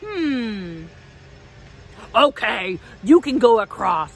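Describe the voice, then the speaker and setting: A woman's voice: a little high, big pitch swings, extremely slow, gravelly, full character voice. A woman voicing a grumpy, hungry bridge troll in a children's story, with blustering, demanding speech. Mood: grudging.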